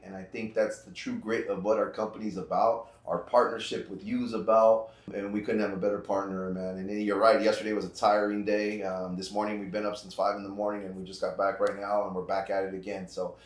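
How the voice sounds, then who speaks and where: Earnest fifty-year-old man, low voice, echoey. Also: little pitch movement, fairly quick, hardly any pauses. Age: 50s. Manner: earnest, heartfelt, repeats himself. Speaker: guest, finance broker. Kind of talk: casual interview chat.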